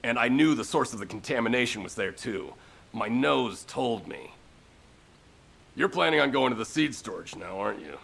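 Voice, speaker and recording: An actor playing a blunt, battle-worn squad leader in a video game, with a gravelly, raspy voice and a weary storytelling manner. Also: male voice, medium pitch, animated, moderate pace, fairly bright tone, full character voice. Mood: bitter, recounting, then determined. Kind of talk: video game cutscene acting.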